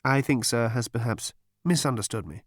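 A man's voice: medium pitch, moderate pace, dry recording, lightly acted. A small, wizened alien waiter from a comic space audiobook, acted out by a man. The speech is formal and obsequious, with every word set out distinctly. The patience sounds professional and thin, edged with sarcasm. The sound is close and dry. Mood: strained politeness. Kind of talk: audiobook character voice.

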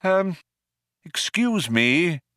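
A man's voice: high voice, big pitch swings, extremely slow, sharp tone, no echo, studio quality, voice pushed up, full character voice. A man voicing a polite, fussy old man in a storybook, hesitant, plaintive and easily affronted. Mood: hesitant, courteous. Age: older. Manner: hesitant courtesy, plaintive indignation.

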